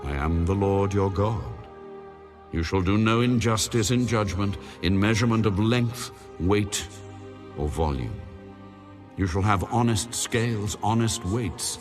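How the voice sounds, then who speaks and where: A man plays an almighty male deity in a scripture audio drama, speaking in a very low voice. He is solemn and commanding, declaring each law and judgment as final. Music plays clearly behind him. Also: measured pace, close to an everyday voice.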